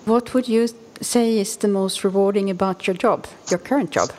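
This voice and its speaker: A woman's voice: medium pitch, moderate pace, a little mellow. A woman interviewer putting prepared questions to a guest. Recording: long reverberant room sound.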